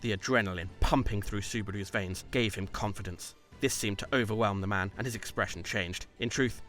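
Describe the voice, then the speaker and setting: A man's voice: lowish voice, a little fast, fairly bright tone, soft background music. A man reading scripted story narration in an audiobook style, as the narrator of a fan dub.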